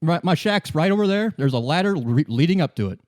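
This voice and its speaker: A man's voice: on the high side, expressive, brisk, darkish tone, no room sound, clean studio sound, voice pushed up, close to an everyday voice. A man voicing a cocky, scruffy twelve-year-old street boy in a tabletop game, brash and casual.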